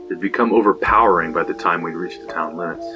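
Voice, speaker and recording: An actor playing a young slacker who narrates a grim trespassing tale in a casual, profane, matter-of-fact way. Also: male voice, low voice, quick pace, lo-fi sound, natural voice. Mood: brooding, hushed. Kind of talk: first-person audio drama narration. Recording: music bed behind the voice.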